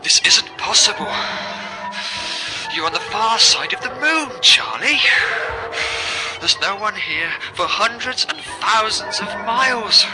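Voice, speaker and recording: An actor playing a lonely, jittery British astronaut in a radio drama, muttering haltingly to himself. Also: male voice, high-pitched, animated, over music, rough recording. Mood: anxious, self-doubting. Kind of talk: internet radio drama monologue.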